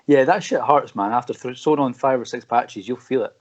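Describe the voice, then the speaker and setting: A man's voice: mid-range voice, fairly quick. A man as the guest musician on a video call, laid-back and reassuring, calling the host man and dude. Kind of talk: casual video-call interview. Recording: muffled lo-fi call audio.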